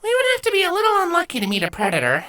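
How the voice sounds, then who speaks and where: A man's voice: extremely high pitch, wildly swooping pitch, rapid-fire, pushed and bright, voice pushed up, heavily acted. A man voicing a worried, timid young princess filly with an anxious, questioning way of talking in a storybook reading. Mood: anxious, hesitant.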